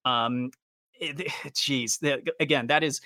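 A man's voice: mid-range voice, fairly even intonation, takes it slowly, pushed and bright, no echo. Guy hosting a casual podcast, mid-range voice, into it, really dry sound. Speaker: podcast host. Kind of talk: casual chat about anime fandom.

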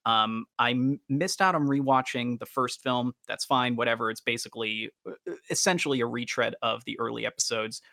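A man's voice: mid-range voice, a little fast, gravelly, bright, forceful tone, dry recording. A man hosting a casual podcast, talking in wordy, roundabout and slightly formal phrases. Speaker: podcast host.

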